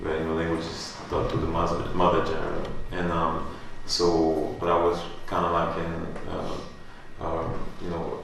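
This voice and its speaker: A man's voice: sits low, completely flat delivery, moderate pace, vocal fry, few pauses, a bit bright. A man from France talking loosely about his life and work at a gallery artist talk, rambling with many fillers. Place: gallery space. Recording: echoey room, distant mic, faint hum.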